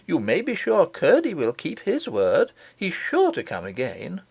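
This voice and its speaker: Man as young princess, high-pitched, earnest, grateful, trusting, storybook character, dry sound. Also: huge pitch swings, a little slow, gravelly, breathy edge, no room sound, exaggerated voice. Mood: confident. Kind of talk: audiobook character voice.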